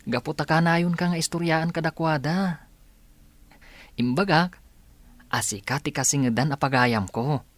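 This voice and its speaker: A man's voice: fairly high pitch, moderate pace, long pauses, fairly bright tone, dry recording, close to an everyday voice. A man acts a teenage schoolboy in a radio play. He is a friendly, persuasive pal. His speech carries an Ilocano accent. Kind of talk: radio drama acting.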